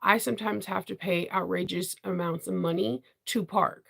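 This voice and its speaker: Stuffy-nosed lady chatting away about sewing stuff, a bit of vocal fry. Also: lowish voice, steady tone, moderate pace, a bit bright. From Washington DC area. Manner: chatty, rambling, laughs often. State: congested. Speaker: sewing channel host. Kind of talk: casual live chat with viewers.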